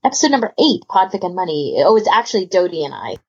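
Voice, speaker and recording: A woman's voice: fairly low pitch, very animated, fast talker, breathy edge, dull audio. A woman hosting a podcast in casual, conversational chat. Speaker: podcast host.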